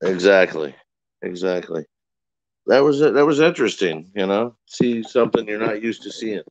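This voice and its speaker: A man's voice: on the low side, lively intonation, moderate pace, no room sound, dull audio. A man on a livestream panel, calling in by video and asking the guest short, curious questions. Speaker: panel member. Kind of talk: casual livestream chat.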